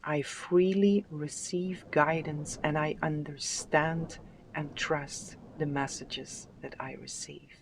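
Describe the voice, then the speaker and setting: A tarot card reader giving a spiritual reading with affirmations, in a soft, soothing and unhurried voice that sometimes drops to a whisper. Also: mid-range voice, background noise. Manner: soft, soothing, unhurried delivery with drawn-out phrases.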